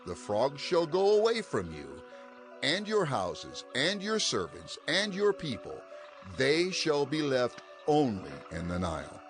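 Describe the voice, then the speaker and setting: A man's voice: medium pitch, wildly swooping pitch, a little slow, soft background music. An actor playing an earnest, firm Hebrew prophet in a dramatized audio Bible, declaiming his words.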